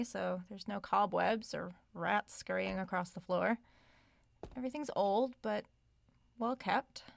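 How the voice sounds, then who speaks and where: A woman's voice: medium pitch, vocal fry, no echo. A woman playing an inquisitive, polite but stubborn young writer in an audio drama, natural and articulate with a wry touch.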